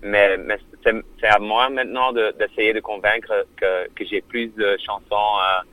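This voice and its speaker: Man speaking halting, French. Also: on the low side, steady tone, pushed and bright, no room sound, low-quality recording. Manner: halting French with many fillers and restarts; non-native French. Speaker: musician guest. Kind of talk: radio interview.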